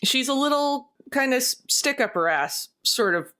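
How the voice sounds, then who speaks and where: A woman's voice: high-pitched, lively intonation, normal speed, bright, forceful tone, clean tone. A woman chatting casually as a player in a tabletop game discussion. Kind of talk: casual chat.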